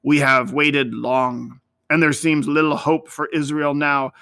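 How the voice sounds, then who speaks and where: A man voicing a grave, kindly older shepherd, deep and solemn, in a storybook tale. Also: level tone, sharp tone, clean tone. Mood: sad, resigned. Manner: slow, solemn, reverent.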